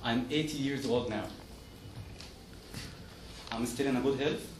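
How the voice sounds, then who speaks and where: A man giving a prepared motivational storytelling speech in short, simple, deliberate sentences. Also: medium pitch, over music. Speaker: club member giving a speech. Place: meeting room, recorded from a distance. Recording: echoey room, distant mic, noisy.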